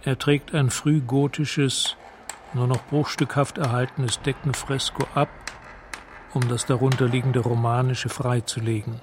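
A man narrating a scripted radio drama in a measured, matter-of-fact way, describing scenes in the present tense. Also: medium pitch, flat delivery, noisy background.

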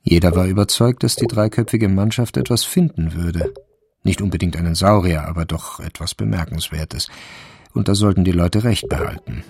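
A man narrating a radio drama from a literary script in a measured, wry manner. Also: sits low, vocal fry, darkish tone, breathy edge, no room sound.

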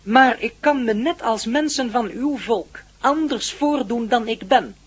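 Husky, very high-pitched alien being. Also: male voice, exaggerated voice. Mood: calm, explanatory. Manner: formal, measured, matter-of-fact. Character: polite, candid alien being observing humankind. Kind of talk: radio play acting.

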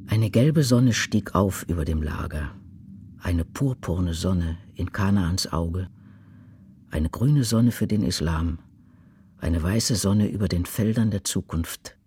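A man performing a grave prophetic witness to war in a radio drama, declaiming slowly in a husky, breathy voice. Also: sits low, a little mellow, a little hiss or hum. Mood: grave, visionary. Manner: slow, declamatory, weighty. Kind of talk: dramatic radio poetry recitation.

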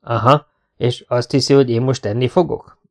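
A man's voice: medium pitch, steady tone, lightly acted. A man reading an audiobook, voicing a wounded, stubborn commando who speaks tersely and halts when moved.

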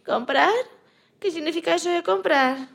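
A woman's voice: extremely high pitch, normal speed, creaky, fairly bright tone, exaggerated voice. A woman playing a frightened, innocent little female bunny in a children's radio play, with a childlike, naive way of telling her story. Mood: worried, innocent.

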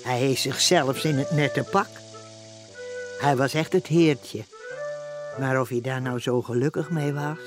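A man's voice: mid-range voice, unhurried, long pauses, over music, close to an everyday voice. A man acting as a wry storyteller who narrates household mishaps in a radio play. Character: storyteller looking back wryly on household mishaps.

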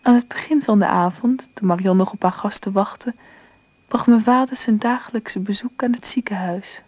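Woman playing reflective daughter narrating a radio play, mellow voice, dry close sound. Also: medium pitch, moderate pace, no room sound, close to an everyday voice. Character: reflective daughter narrating her family's story. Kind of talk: scripted radio drama narration.

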